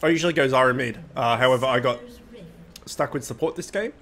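A young man hosting a casual gaming video, sounding nasal from a cold, chatty and relaxed with lots of fillers. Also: medium pitch, fast. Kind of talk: casual gameplay commentary.

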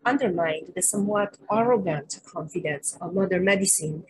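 A woman's voice: lowish voice, a crowd in the background, rough recording. Middle-aged woman giving an academic keynote. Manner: measured, frequent fillers and pauses. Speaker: keynote speaker. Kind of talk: academic lecture over slides. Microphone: webcam on a video call.

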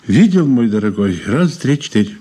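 A man's voice: mid-range voice, very animated, normal speed, warm tone, breathy edge. An actor playing a shrewd, ironic old man under questioning in a 1980s Soviet radio play, courteous and condescending. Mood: sly, ironic. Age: older. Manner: courteous, sly, condescending endearments.

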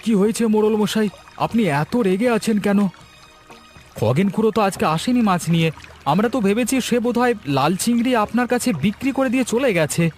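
A man voicing a curious young fisherman, high voice. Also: brisk, a little mellow, faint music behind, close to an everyday voice. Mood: puzzled. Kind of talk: Bengali cartoon voice acting.

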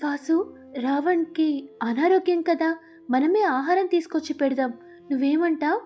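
A woman voicing a friendly, caring young female parrot who chats in a sweet, coaxing way in an animated storybook. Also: sits high, measured pace, soft background music, voice pushed up.

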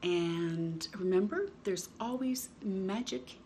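A middle-aged woman hosting storytime, reading to children in an expressive, drawn-out way with playful emphasis. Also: medium pitch, slight background noise. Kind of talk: reading a picture book aloud to children. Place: indoors in a home room.

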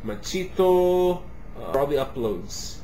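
Slow-talking man stumbling through Spanish. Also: fairly high pitch, very animated, dark tone, slight background noise, poor audio. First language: English. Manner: halting second-language Spanish, many fillers and restarts; gestures animatedly while talking. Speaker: interviewee, musicology professor. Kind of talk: casual on-camera interview. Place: home kitchen, indoors. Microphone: webcam at desk distance.

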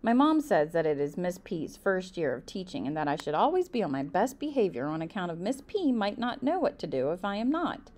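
Lady doing a kids book read aloud, really animated, voice going up and down, emphatic. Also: on the low side, brisk, hardly any pauses. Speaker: reader of a children's book. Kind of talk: expressive read-aloud storytelling.

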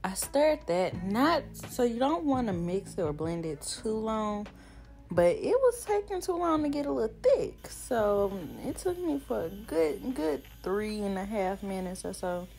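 A young woman, casually chatting as she cooks and narrating a voiceover in a relaxed, rambling way that often laughs at herself. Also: mid-range voice, measured pace. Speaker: vlogger. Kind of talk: casual cook-along chat and voiceover. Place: home kitchen, indoors. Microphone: camera on the counter. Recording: voiceover with clear background music.

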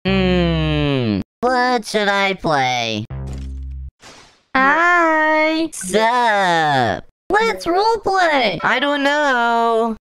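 A woman voicing comedy cartoon skits with a high, bouncy voice that swoops around. She talks very slowly and drawn out, with light music under her. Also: very animated, a bit bright. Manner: playful, switching between characters and reading action cues aloud. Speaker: voice-over creator.

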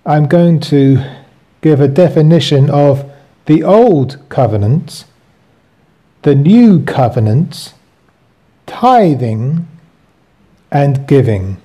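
A man hosting and reading from his own book with commentary, in deliberate, halting phrases. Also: on the high side, expressive, dark tone, husky.

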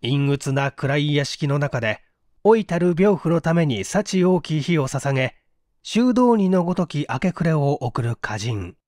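A man narrating a mystery story as an audiobook, in a measured, literary and dramatic storytelling manner. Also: on the high side, very animated, a little mellow, no echo, clean studio sound. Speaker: audiobook reader, stage actor.

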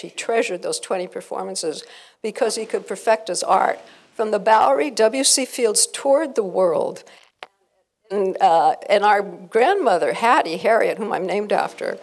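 An older American woman presents informally to a live audience. Her voice is husky with plenty of fry, and her delivery is effusive, emphatic and a little halting. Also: mid-range voice. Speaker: event presenter. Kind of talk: informal live public talk. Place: hotel conference room before a seated audience. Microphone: handheld microphone.

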